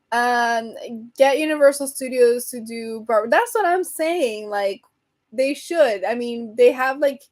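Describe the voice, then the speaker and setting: A woman hosting a casual livestream, rambling and answering viewer comments, her voice getting hoarse from talking so much. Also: a little high. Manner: chatty, rambling, reads and answers comments, laughs often. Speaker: livestream host chatting with viewers.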